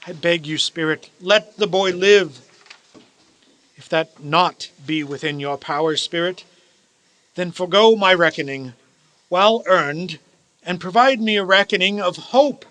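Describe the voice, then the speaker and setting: A male actor's elderly reformed miser from a radio play. The voice is high for a man, bright and forceful. The delivery is theatrical and emphatic, with formal, old-fashioned phrasing. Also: unhurried, rough recording. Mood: desperate, pleading.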